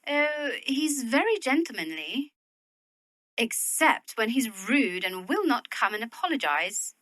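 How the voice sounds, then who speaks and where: An actress playing a proud, practical young Victorian widow in a period audio drama, speaking in a worldly, opinionated way. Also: female voice, a little high, big pitch swings, measured pace, a bit bright, no room sound. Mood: piqued. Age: young adult.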